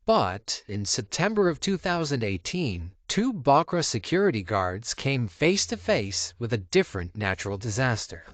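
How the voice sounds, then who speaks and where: Guy doing scripted video voiceover, really animated pitch, dry close sound. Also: normal speed, hardly any pauses, no echo. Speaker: narrator of a viral video compilation. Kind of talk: scripted narration.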